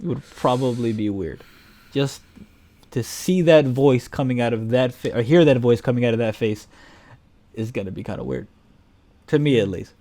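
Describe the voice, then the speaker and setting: A man's voice: mid-range voice, normal speed, pauses often, mellow. Opinionated man chatting about films and games. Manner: opinionated, blunt, profane. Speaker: podcast co-host reviewing films. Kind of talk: casual film and video game chat.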